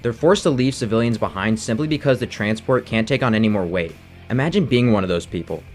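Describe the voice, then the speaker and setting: Male narrator, brisk delivery, scripted game analysis, soft music underneath. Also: fairly low pitch, animated. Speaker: video essay narrator.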